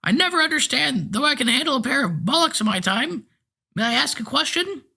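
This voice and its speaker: A man's voice: very high voice, animated, a little fast, creaky, bright, forceful tone, pitched above the performer's own voice, full character voice. A man reading a story aloud, voicing a frail, cranky eighty-year-old man with a thin, piping voice. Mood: grumbling.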